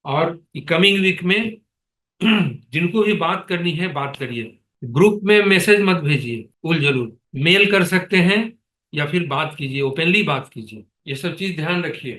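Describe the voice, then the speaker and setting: A man's voice: a little high, expressive, unhurried, fairly bright tone. A man chairing a staff conference call in an authoritative, admonishing, questioning manner. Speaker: meeting chair, foundation leader.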